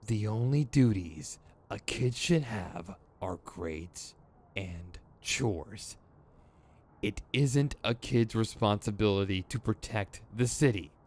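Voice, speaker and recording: A man's voice: on the low side, full character voice. A man playing an exasperated but principled, protective villain in an audio roleplay, with a sardonic, emphatic, conversational delivery. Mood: indignant, protective. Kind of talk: ASMR roleplay acting. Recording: clear background noise.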